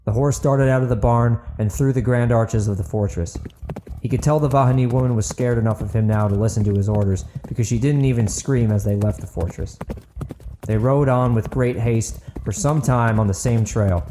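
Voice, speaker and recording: Mid-pitched man narrating dramatic fantasy. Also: moderate pace, background noise. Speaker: audiobook narrator.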